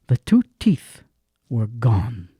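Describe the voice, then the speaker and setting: A man's voice: medium pitch, very animated, slow pace, dark tone, breathy edge, no echo, studio quality. A man narrating a radio drama in a grave, emphatic way that swells on key words.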